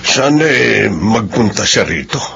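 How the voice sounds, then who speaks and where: A man's voice: lowish voice, very animated, measured pace, creaky, sharp tone, heavily acted. A man playing a troubled, serious middle-aged uncle in a radio drama. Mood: worried. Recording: dull radio audio, faint noise.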